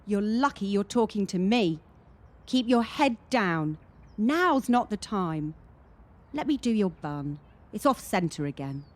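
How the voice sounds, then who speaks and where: An actress playing a wary, cynical young gymnast in a radio drama, with a deliberate, emphatic delivery. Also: female voice, mid-range voice, animated. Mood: cynical warning. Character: wary, cynical female gymnast warning her teammate.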